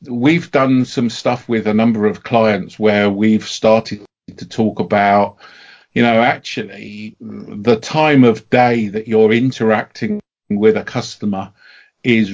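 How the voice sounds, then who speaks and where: A man's voice: medium pitch, darkish tone, muffled recording. A middle-aged man co-hosting a podcast, chatting casually with fillers and self-deprecating humour. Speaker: podcast co-host. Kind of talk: casual chat.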